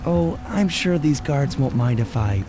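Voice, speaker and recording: A man voicing a suave, cocky young raccoon thief in a cartoon video game, smooth and wisecracking. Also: fairly high pitch, sing-song, measured pace, noisy background. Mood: casual, confident.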